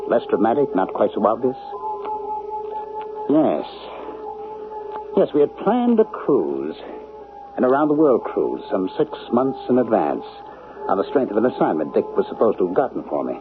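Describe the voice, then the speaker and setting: A brooding, suspicious writer. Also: male voice, on the low side, wildly swooping pitch, normal speed, long pauses, music in the background. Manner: introspective, questioning. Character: reflective male writer, uneasy and suspicious. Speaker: narrator and lead character. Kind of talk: 1970s radio drama acting. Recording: tinny old radio broadcast with faint hiss.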